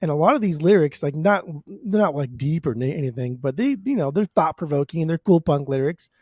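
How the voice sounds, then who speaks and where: Higher-voiced, relaxed, sweary American man. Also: brisk, slightly rough, no echo, clean studio sound. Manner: profane, slangy, opinionated. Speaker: podcast co-host. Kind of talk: casual music discussion.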